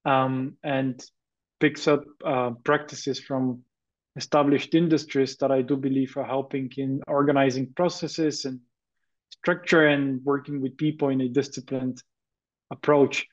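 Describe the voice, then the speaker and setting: A man's voice: mid-range voice, level tone, unhurried. Man on a muffled line. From Bulgaria. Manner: hesitant, many fillers, earnest. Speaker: guest entrepreneur. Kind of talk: podcast interview.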